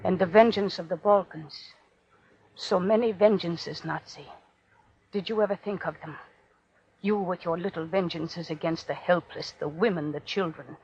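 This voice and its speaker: A woman's voice: fairly low pitch, moderate pace, darkish tone, husky. A woman playing a defiant condemned prisoner, solemnly accusing her captor in incantatory, repetitive 1940s radio drama oratory. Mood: solemn, righteous. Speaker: actor. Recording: dull, noisy old radio recording.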